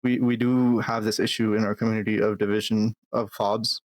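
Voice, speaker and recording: A man's voice: on the low side, little pitch movement, a little fast, dry recording. A man in his twenties, born in Pakistan, talking earnestly as a guest in a casual interview and often repeating words to stress a point. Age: 20s. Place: Calgary, Canada.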